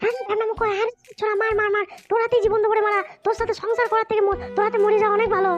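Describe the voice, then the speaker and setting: Naggy cartoon wife, west bengal accent, super high sped-up squeak, talks nonstop fast, dull lo-fi sound w music behind. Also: female voice, level tone. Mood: furious, daring. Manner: scolding, nagging, foul-mouthed. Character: shrill, quarrelsome young wife, defiant and aggrieved. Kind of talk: amateur cartoon comedy squabbling.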